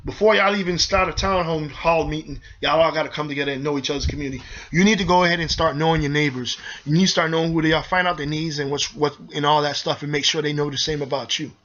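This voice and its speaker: A man on a heated vlog rant, talking fast and hard in a somewhat high, tense voice. He preaches and repeats himself, calling out to his viewers directly. The recording sounds dull and lo-fi. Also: steady tone, fairly bright tone. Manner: heated, preachy, repetitive, addresses viewers as y'all. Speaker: video host.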